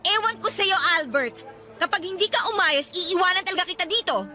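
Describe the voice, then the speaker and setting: A woman's voice: extremely high pitch, animated, fairly quick, faint music behind, full character voice. A woman acting as a sassy, feisty young student girlfriend in a Filipino radio romance drama, with a Filipino accent. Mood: irritated, defensive. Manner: sassy, sharp-tongued.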